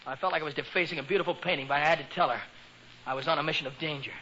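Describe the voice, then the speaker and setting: Man playing a high-voiced, melodramatic teenage would-be detective. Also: very fast, crowd noise, close to an everyday voice. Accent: American. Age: young adult. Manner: melodramatic, cocky, earnest. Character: eager, self-important teenage son playing private eye. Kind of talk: 1940s radio comedy acting. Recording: muffled, noisy 1940s radio recording.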